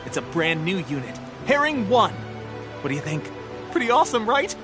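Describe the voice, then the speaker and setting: An eager young soldier from a video game, boastful and exuberant. His voice is high and very animated, with music clearly behind it. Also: normal speed. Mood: boastful, excited. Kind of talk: video game character acting.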